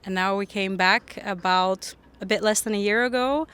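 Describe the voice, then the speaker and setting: A woman's voice: mid-range voice, moderate pace, fairly bright tone, clear, resonant tone. A young woman from Finland, a Finnish native speaker, chatting as a podcast guest on an outdoor bench, laughing often and filling her talk with "like". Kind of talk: casual interview chat. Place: public bench by a harbour outdoors.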